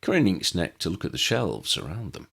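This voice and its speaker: Male storyteller, low pitch, animated delivery, scripted audiobook narration. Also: brisk, gravelly, breathy edge. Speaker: audiobook narrator reading fan fiction.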